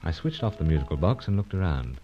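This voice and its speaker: A man's voice: extremely low pitch, sing-song, fast talker. A man playing an unflappable secret agent in a spy audio drama, with clipped phrasing and breezy, pompous bravado. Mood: narrating, measured. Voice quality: clipped, drops words from sentences. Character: unflappable stiff-upper-lip British gentleman secret agent. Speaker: lead character and first-person narrator. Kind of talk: tongue-in-cheek spy adventure audio drama. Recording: dull lo-fi audio, faint music.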